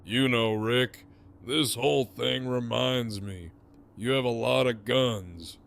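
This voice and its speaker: An actor playing a menacing, foul-mouthed gang leader in an audio drama, with a taunting, theatrical, drawn-out delivery. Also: male voice, medium pitch, vocal fry, fairly bright tone. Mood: smug threatening.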